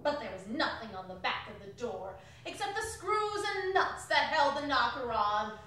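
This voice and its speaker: A woman's voice: fairly high pitch, big pitch swings, normal speed, bright, forceful tone. A woman narrating a classic story on stage in a theatrical dramatic reading. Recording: very echoey hall, distant mic, faint noise.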